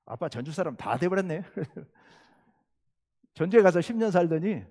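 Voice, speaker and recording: Middle-aged man, Jeolla Korean accent, pastor preaching, high lively voice, long reverb of a church sanctuary. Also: normal speed. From South Jeolla, Korea. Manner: earnest preaching, rhetorical questions, rising emphasis. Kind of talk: sermon.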